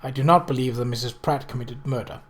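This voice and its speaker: A man voicing a composed, stubborn young woman in a novel reading, speaking in a clear, firm, factual way. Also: medium pitch, fast talker, voice pushed down, natural voice. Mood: firm, challenging. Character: young American woman secretary, composed, sharp, firmly stubborn.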